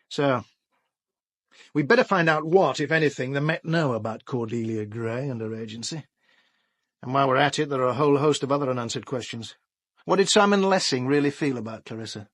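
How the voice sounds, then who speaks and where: A man's voice: mid-range voice, brisk, long pauses, no room sound, studio quality, close to an everyday voice. A British actor playing a shrewd, dryly sardonic police inspector in a radio drama, wry and probing in his questioning. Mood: wry, speculative. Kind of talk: full-cast radio drama.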